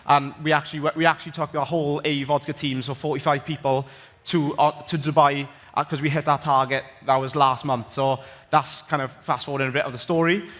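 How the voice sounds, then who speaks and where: A man's voice: a little high, fairly bright tone. A young man with a strong Welsh accent from Swansea, chatting casually through a founder's talk with slides, often restarting his sentences. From Wales. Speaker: company founder giving a talk. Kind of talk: conference presentation with slides. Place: large conference hall. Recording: long hall reverb.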